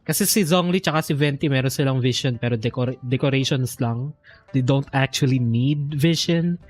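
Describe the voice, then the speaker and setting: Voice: mid-range voice, brisk. A streamer with a Filipino accent, reacting and musing aloud in casual game commentary that switches between two languages. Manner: informal, reactive, muses aloud. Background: game music.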